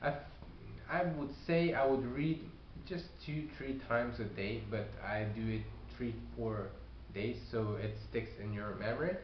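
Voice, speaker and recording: A man who is not a native English speaker, giving casual advice on learning a language, talking haltingly with many fillers and restarts. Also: medium pitch. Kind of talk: casual video response. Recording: echoey room, dull lo-fi sound, faint hiss.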